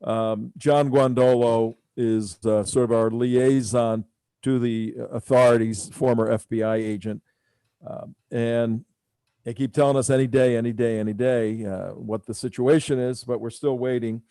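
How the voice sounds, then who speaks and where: A man's voice: medium pitch, steady tone, measured pace, dark tone, clean tone, no room sound, clean studio sound. A man hosting a radio talk show, speaking casually and rambling with many fillers.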